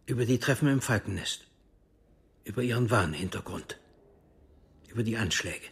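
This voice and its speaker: An actor in a radio drama playing a cool, secretive intelligence officer who speaks formally and with measured control. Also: male voice, lowish voice, lively intonation, vocal fry, husky, full character voice. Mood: grave, measured. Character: cool secretive East German intelligence officer, frankly world-weary.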